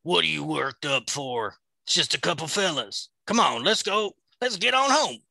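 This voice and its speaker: A man voicing a gruff, weary backwoods rifleman with a put-on Southern drawl, low and coaxing. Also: animated, normal speed, pushed and bright, no room sound, full character voice.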